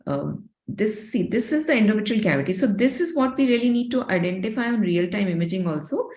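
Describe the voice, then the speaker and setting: A woman's voice: mid-range voice, expressive, fairly quick, darkish tone. A woman lecturing on radiology cases, explaining step by step in a didactic way. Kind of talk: case-based medical lecture.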